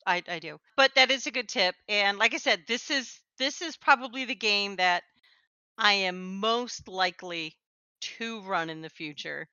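A woman's voice: mid-range voice, measured pace, pushed and bright, clear, resonant tone, dry recording, highs cut off. A woman with an Upper Midwest accent, chatting casually on a podcast, self-deprecating and laughing often. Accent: Upper Midwest American. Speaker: podcast co-host. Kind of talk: casual chat.

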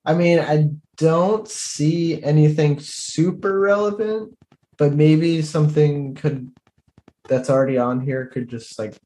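A man playing a tabletop roleplaying game, casually describing his character's actions in a halting, hesitant way. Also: a little high, dark tone. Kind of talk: casual improvised roleplay narration.

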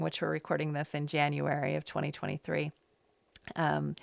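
A woman's voice: lowish voice, vocal fry, no echo, clean studio sound. A middle-aged American woman hosting a casual podcast, telling stories in a rambling, self-deprecating way. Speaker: podcast host. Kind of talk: casual conversational podcast chat. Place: small loft space at home.

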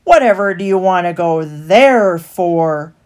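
A woman voicing a sly, sneering male rat who trades at a market, drawling loudly and slowly. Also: lowish voice, animated. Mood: sly sales pitch. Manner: drawling slow and loud.

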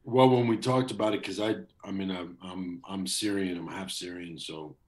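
A man in his thirties with a very low voice, talking loosely and at length as a guest. He rambles and restarts with plenty of fillers. The sound comes over a video call. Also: fairly even intonation, normal speed, darkish tone. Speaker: voice actor. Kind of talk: casual interview chat.

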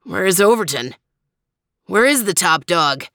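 A woman voicing an excitable, bossy older male French dog detective in a storybook, barking out exclamations. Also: on the low side, a little slow, dry recording, studio quality. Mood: breathless, alarmed. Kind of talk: storybook character reading.

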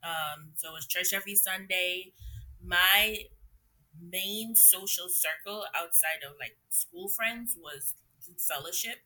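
A young adult woman from Trinidad and Jamaica, a podcast guest who tells her story in a relaxed, reflective way with frequent fillers and laughs. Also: on the low side, takes it slowly, poor audio. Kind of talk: conversational interview storytelling.

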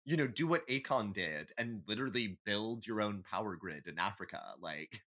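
Low voiced guy podcast host, super animated, opinionated, sweary casual chat. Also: moderate pace.

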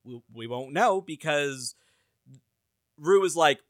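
A man's voice: a little high, lively intonation, takes it slowly, no echo. A tired man co-hosting a podcast, chatting casually with dry, wry asides. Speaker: podcast co-host. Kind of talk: casual chat.

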